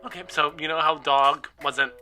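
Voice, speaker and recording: A man playing an awkward, excitable young adult in an audio drama, flustered and rambling. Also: on the high side, moderate pace, clear, resonant tone, faint music behind. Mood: flustered, pleading.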